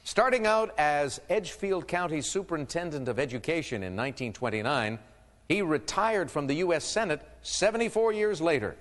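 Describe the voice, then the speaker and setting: A man's voice: a little high, lively intonation, moderate pace, long reverb tail. A man hosting a quiz show, reading clues and teasing contestants with playful asides. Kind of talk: game show hosting with banter.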